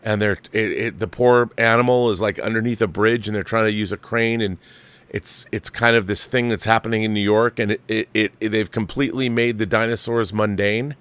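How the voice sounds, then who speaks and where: A man's voice: fairly low pitch, fairly even intonation, normal speed, fairly bright tone, clean tone. A man hosting a livestream, giving casual, opinionated film commentary with a theatrical showman's turn of phrase.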